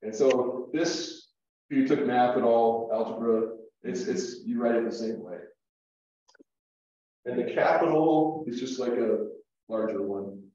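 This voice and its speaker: Slow-talking man teaching in echoey room. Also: mid-range voice, warm tone, distant mic. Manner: slow, halting explanations with fillers and restarts. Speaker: Greek teacher. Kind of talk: classroom lesson at a whiteboard. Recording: echoey room, dull lo-fi sound.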